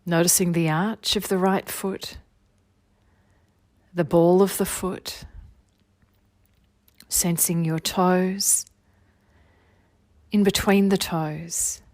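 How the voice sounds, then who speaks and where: A woman leading a guided meditation as a teacher, giving gentle, soothing body-scan instructions in an unhurried way. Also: on the low side, fairly even intonation, no echo, clean studio sound. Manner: soothing, unhurried, gentle instruction with long phrases trailing softly.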